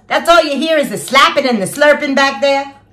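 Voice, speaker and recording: Lady playing a giddy housewife, gushy and chatty, voice swoops high and low all over. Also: lively intonation, brisk, bright, forceful tone. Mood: gushing. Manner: gushing, chatty, talks to herself while doing makeup. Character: giddy Southern housewife and mother of seven, superfan, chatty. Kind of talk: comic character monologue.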